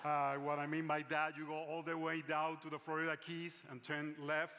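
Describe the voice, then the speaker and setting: A man's voice: fairly high pitch, completely flat delivery, normal speed. A man from Puerto Rico with a Puerto Rican Spanish accent, preaching in a conference hall and joking about himself. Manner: joking, self-deprecating. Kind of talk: sermon with live interpretation. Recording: large hall reverb, faint noise.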